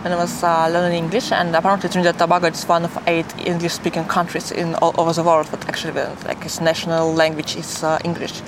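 A woman's voice: fairly low pitch, fast talker, hardly any pauses. Young Russian woman on a noisy street. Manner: animated, giggly, uses filler "like" heavily. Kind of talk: casual conversational storytelling. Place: outdoors in a city. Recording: noisy city street with traffic.

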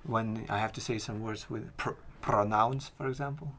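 A man from Lithuania with a Lithuanian accent, a guest speaking English as a second language, halting and restarting words as he searches for them. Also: lowish voice, fairly even intonation, a bit bright. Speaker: podcast guest. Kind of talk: interview conversation.

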